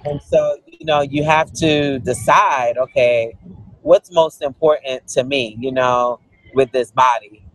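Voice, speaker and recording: A man's voice: medium pitch, fairly even intonation, slow, no room sound, rough recording. Dry-voiced Guyanese-born man explaining. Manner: earnest, explanatory, frequent "you know" fillers. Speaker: cookbook author and guest. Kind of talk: interview conversation.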